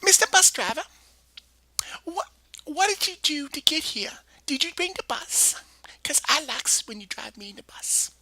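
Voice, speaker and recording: Woman doing a rambling eight-year-old girl, stammering, childish grammar, very bright tone, dry sound. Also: sits high, big pitch swings, moderate pace, dry recording, pitched above the performer's own voice, exaggerated voice, low-quality recording. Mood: chirpy. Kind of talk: comedic character monologue.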